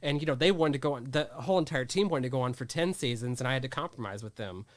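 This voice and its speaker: Man, thirties, rambling, enthusiastic, medium pitch, dry room. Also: fast talker, no room sound. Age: 30s. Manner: rambling, restarts often, enthusiastic. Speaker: magazine editor and producer. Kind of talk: casual interview chat.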